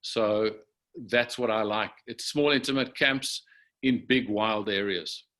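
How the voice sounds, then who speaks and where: A man speaking in a measured, reflective way in short phrases, as a safari operator on a panel. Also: on the low side, a bit bright. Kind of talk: unscripted panel talk about wildlife destinations.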